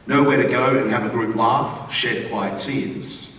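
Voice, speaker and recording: A man's voice: medium pitch, fairly even intonation, unhurried, fairly bright tone. An Australian man reading a written humorous postcard aloud to an audience in a wry, conversational way. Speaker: reader at a theatre forum. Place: large indoor theatre forum venue. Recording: echoey hall, distant mic, room noise.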